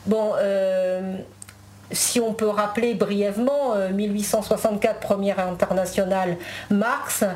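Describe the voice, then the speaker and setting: A woman's voice: mid-range voice, fairly even intonation, measured pace, a little mellow. A woman whose first language is Spanish, a guest in a casual interview chat, talking with many fillers and restarts.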